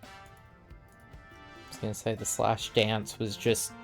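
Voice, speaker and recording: A man's voice: lowish voice, flat delivery, slow, gravelly, soft background music. A man playing a game with a friend, chatting in a dry, laid-back way. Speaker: co-streamer. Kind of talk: casual gameplay chat.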